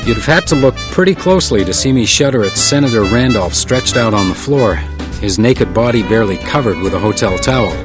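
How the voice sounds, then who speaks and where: Actor as a terse, world-weary hotel detective over music. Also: male voice, mid-range voice, fairly quick, few pauses, close to an everyday voice. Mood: brooding, wistful. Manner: terse, wry, deadpan noir delivery. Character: world-weary male hotel house detective, composed and wry. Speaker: narrator and lead character. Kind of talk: 1920s hard-boiled detective radio drama. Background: music, guitar.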